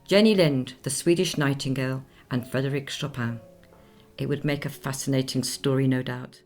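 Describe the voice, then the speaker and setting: An actress playing a dignified nineteenth-century Swedish opera singer in a radio play, speaking in a measured, formal, reflective way. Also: female voice, very deep voice, fairly even intonation, darkish tone, soft background music. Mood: proud, guarded.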